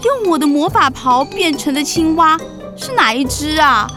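A woman voicing a lively, affectionate, teasing witch aunt in a children's radio drama. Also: high-pitched, normal speed, music in the background. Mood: exasperated, wistful. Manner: playful, expressive.

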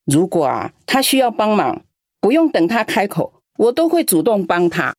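A woman's voice: medium pitch, sing-song, moderate pace, a little mellow, no room sound, studio quality. A woman acting a lonely elderly grandmother in a radio drama, doting on the young but scolding her grown daughter in an aggrieved way. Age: older. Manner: fond and sighing, turns scolding and aggrieved.

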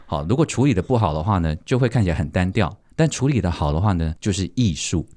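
A man's voice: low-pitched, lively intonation, fast talker, a little mellow. A man hosting a podcast in a casual, playful, teasing way, chatting to guests and listeners. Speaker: podcast host.

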